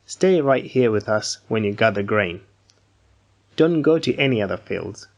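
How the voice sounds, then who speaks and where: A man playing a kindly, authoritative landowner in a dramatised Bible reading, speaking in a measured, formal way. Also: on the low side, animated, gravelly. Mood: kindly, protective. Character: Boaz, kindly wealthy landowner, authoritative and generous.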